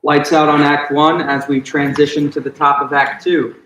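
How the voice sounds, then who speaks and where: A man with a slightly high voice reads in an echoey room. He delivers stage directions as scripted narration for a play reading. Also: little pitch movement, moderate pace, noisy background.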